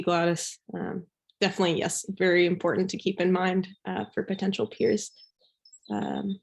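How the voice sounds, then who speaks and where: A young woman from Belgium giving a casual, informal presentation as a student peer, with an enthusiastic manner. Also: medium pitch. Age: young adult.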